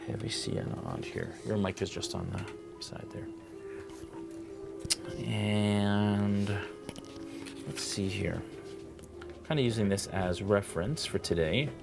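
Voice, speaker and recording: A man hosting a live miniature painting tutorial, relaxed and chatty, thinking aloud as he paints. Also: on the low side, slow pace, vocal fry, long pauses, over music.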